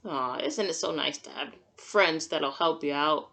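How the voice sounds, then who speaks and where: A woman's voice: deep voice, a little slow, vocal fry, fairly bright tone, a little hiss or hum. A woman teacher reading a picture book aloud to young children, adding simple, encouraging comments and questions as she goes. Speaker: kindergarten teacher.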